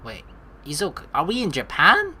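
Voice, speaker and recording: Low-voiced, animated woman playing games. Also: normal speed, a little hiss or hum. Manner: animated, joking, exclamatory. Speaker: let's play host. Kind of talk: gameplay commentary mixed with reading game narration aloud. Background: game music.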